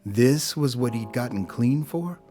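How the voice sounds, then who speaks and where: A man's voice: mid-range voice, lively intonation, a little slow, mellow. A man narrating a scripted audio drama as a dramatic storyteller. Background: music.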